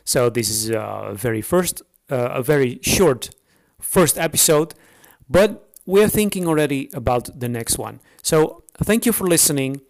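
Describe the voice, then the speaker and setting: A man from the Netherlands with a Dutch accent, speaking English as a second language, hosting a solo podcast introduction unscripted, with many pauses, fillers and restarts. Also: medium pitch, expressive, vocal fry. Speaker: podcast host.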